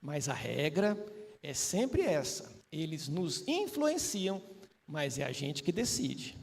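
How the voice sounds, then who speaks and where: A man with a notably high voice explains spiritist ideas to a live panel. He speaks in a relaxed, chatty way and keeps tossing in rhetorical questions to the listeners. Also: slow, vocal fry, slight background noise. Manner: conversational, asks frequent rhetorical tag questions. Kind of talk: casual spiritist teaching talk.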